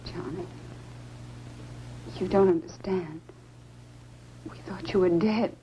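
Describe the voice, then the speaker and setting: An actress playing a composed, concerned scientist in the style of a 1950s science fiction film. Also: female voice, fairly low pitch, steady tone, slow pace, a little hiss or hum. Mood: earnest. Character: concerned, composed female scientist tending a crashed astronaut. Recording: dull old film soundtrack, faint music.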